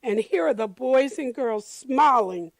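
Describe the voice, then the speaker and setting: Slow, high-voiced woman reading a storybook. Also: lively intonation, creaky, dry recording. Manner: deliberate, addresses children and explains pictures. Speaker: storyteller reading a children's picture book. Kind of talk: expressive read-aloud for young children.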